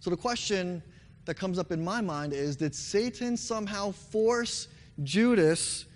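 A young man preaching a sermon with a hoarse voice, earnestly leading his congregation in song. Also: sits high, measured pace. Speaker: pastor. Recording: long reverb hall.

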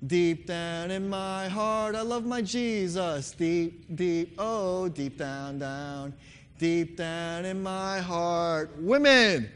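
A young man preaching a sermon with a hoarse voice, earnestly leading his congregation in song. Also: high-pitched, drawn-out words, a bit bright, a little hiss or hum. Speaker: pastor. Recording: long reverb hall.

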